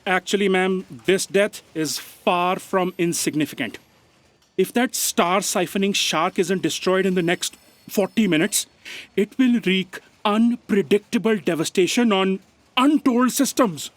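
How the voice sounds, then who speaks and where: A man's voice: high voice, unhurried, no echo. A man playing a pedantic, nervous aide, deferential, literal-minded and hesitant, in a comic sci-fi audio drama. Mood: earnest, anxious.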